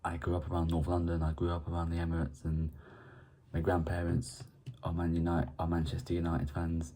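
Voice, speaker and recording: A man's voice: low voice, completely flat delivery, fairly quick, warm tone. A young man from Ireland hosting a casual football match preview, rambling and often restarting his words. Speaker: football fan channel host.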